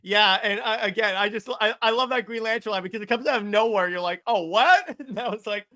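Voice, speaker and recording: A man's voice: sits high, brisk, sharp tone. A man co-hosting a casual fan podcast, speaking enthusiastically and agreeably, often repeating himself as he goes. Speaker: podcast co-host.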